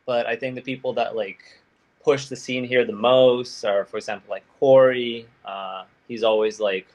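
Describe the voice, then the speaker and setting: A man's voice: medium pitch, fairly even intonation, moderate pace, a little mellow. A young man from Belgium, a guest skater talking in a loose, laid-back way with lots of fillers. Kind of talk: casual interview chat.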